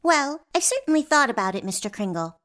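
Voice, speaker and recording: A woman plays a precocious little girl in a comic radio play. She has a high voice and a dry, matter-of-fact way of talking, as if tired of grown-ups. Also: big pitch swings, a little fast, fairly bright tone. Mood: wistful.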